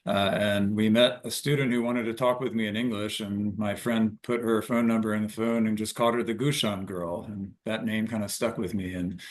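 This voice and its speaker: A man, a poet and professor, explaining informally before a recital with halting, self-correcting phrasing. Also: fairly low pitch, fairly even intonation.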